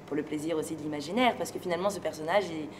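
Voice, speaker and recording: A woman from France being interviewed, speaking in a relaxed, thoughtful and hesitant way and laughing easily. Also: sits low, racing. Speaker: interviewee, singer and stage performer. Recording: noisy location recording with ambient sound.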